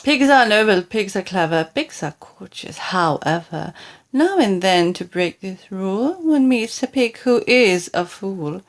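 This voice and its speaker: A woman reading comic rhyming verse for children as scripted narration, with theatrical, deliberate phrasing. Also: medium pitch, expressive. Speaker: storybook reader.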